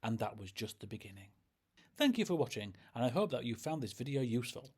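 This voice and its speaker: Husky-voiced man presenting a scripted review. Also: medium pitch, very animated, fast talker, darkish tone. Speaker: host and reviewer. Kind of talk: scripted narration, audio drama review.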